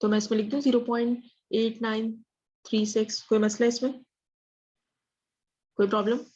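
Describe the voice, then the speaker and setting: A female teacher leads an interactive lesson with little pitch movement. She keeps putting questions and checking that people follow, switching into English phrases. The audio is slightly dull and lo-fi. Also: level tone, moderate pace, dark tone. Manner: questioning, checks understanding often; mixes English phrases into speech. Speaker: statistics teacher. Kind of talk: interactive online math lesson.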